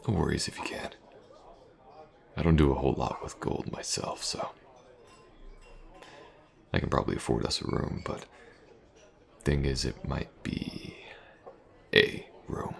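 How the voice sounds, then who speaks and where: Man playing a very deep, gravelly mercenary. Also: full character voice. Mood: calm, assured. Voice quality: gravelly, breathy low murmur. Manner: unhurried, teasing, with pauses. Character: tough, teasing male fantasy mercenary for hire. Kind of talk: immersive fantasy audio roleplay, intimate improvised monologue.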